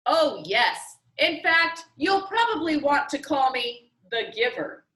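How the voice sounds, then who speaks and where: A woman voicing an eccentric, showy billionaire game-maker in a storybook reading, announcing grandly with drawn-out emphasis. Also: high-pitched, sing-song, a bit bright, exaggerated voice. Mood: showy, emphatic. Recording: roomy echo.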